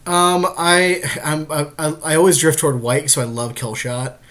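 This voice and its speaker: A man's voice: on the high side, steady tone, normal speed, fairly bright tone. A man chatting informally as a podcast co-host, thinking aloud a little tentatively about card picks. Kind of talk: casual chat, thinking aloud.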